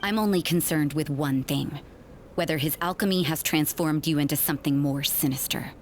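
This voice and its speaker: A woman playing a wary, sardonic nun investigator in an anime fantasy video game, speaking in a cool, dry, deliberate way. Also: low-pitched, background noise, lightly acted. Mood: stern.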